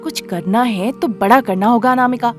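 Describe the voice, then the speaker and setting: A woman's voice: on the high side, normal speed, soft background music, natural voice. A woman voicing a shrewd young female friend, explaining things in a matter-of-fact, persuasive way. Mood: knowing, persuasive. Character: shrewd young female friend giving money-making advice.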